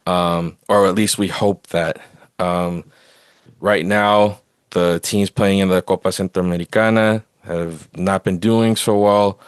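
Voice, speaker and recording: Low-voiced man hosting a sports podcast. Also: steady tone, takes it slowly, smooth, no echo. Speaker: podcast host. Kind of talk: casual sports discussion.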